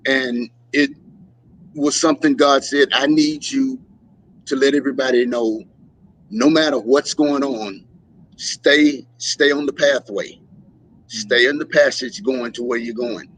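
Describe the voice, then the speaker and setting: A man, a musician guest in a casual interview, telling stories and acting out quotes with lively voices. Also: medium pitch, measured pace, a little hiss or hum, poor audio. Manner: animated storyteller, acts out quotes.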